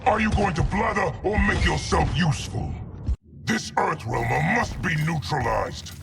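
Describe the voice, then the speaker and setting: Gravelly, belligerent warlord. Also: male voice, fairly high pitch, expressive, measured pace, far from the mic, noisy background. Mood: impatient, combative. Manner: forceful, impatient, barking. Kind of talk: video game cutscene acting.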